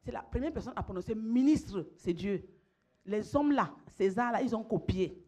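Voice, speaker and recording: A woman's voice: a little high, expressive, moderate pace, dark tone. A middle-aged woman pastor, preaching emphatically, repeating phrases and calling on her listeners to respond. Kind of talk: sermon.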